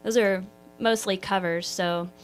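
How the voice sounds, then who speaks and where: A woman singer-songwriter with a slightly hoarse voice, talking modestly in a radio interview. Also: mid-range voice, steady tone, a little slow, soft background music. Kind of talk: casual radio interview chat.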